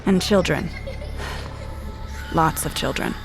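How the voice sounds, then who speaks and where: An actress playing a blunt, distrustful special agent in a noir audio drama, narrating and confronting in terse lines. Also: female voice, sits low, slow, vocal fry, heavily acted, low-quality recording. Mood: grim, brooding narration. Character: hard-boiled, distrustful female special agent. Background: background music.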